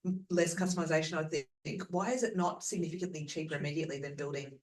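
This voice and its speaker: A man's voice: a little high, fast talker, recorded from a distance. A man asking spontaneous questions from the audience in a polite, conversational way. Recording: echoey room, off-mic pickup.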